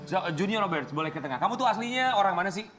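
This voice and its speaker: A man's voice: sits high, lively intonation, rapid-fire, fairly bright tone, soft background music. A man hosting a celebrity talk show, asking the guest teasing questions.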